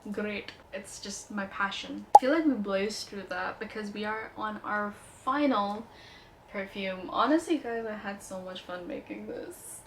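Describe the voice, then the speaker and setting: A woman's voice: mid-range voice, unhurried, fairly bright tone, a little hiss or hum, poor audio. A young woman with a light Indian accent, chatting playfully and enthusiastically as she reviews perfumes. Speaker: beauty vlogger reviewing perfumes. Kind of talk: casual product review vlog.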